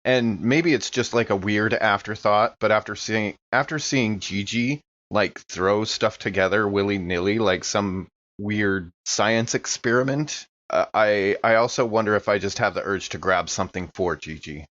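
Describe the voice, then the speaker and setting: Lowish guy playing a tabletop game, thinking out loud, audio a bit dull. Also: moderate pace, hardly any pauses. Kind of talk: casual improvised tabletop game talk.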